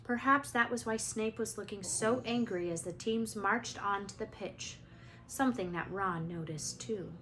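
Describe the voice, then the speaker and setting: A woman teacher reading a children's novel aloud in an expressive storytelling style. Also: medium pitch, a little slow, a little hiss or hum, rough recording.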